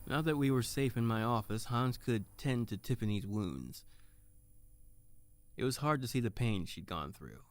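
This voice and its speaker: A man performs an earnest hard-boiled detective in a noir audio drama. He narrates his own life with melodramatic anguish and wide swings in pitch. A long, hall-like reverb surrounds the voice. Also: expressive, moderate pace, lightly acted. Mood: grave narration.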